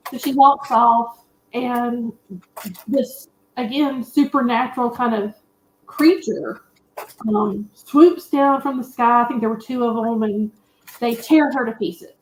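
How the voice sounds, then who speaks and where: A woman's voice: fairly high pitch, fairly even intonation, slow, a little hiss or hum. A woman panelist giving a candid, opinionated and colloquial film recap in casual chat. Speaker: panelist on a movie discussion show. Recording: roomy, echoey sound.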